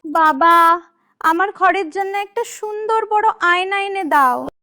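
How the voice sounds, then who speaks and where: A woman playing a spoiled, stubborn but brave little girl in an animated story, speaking insistently. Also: extremely high pitch, slow, heavily acted. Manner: insistent, demanding.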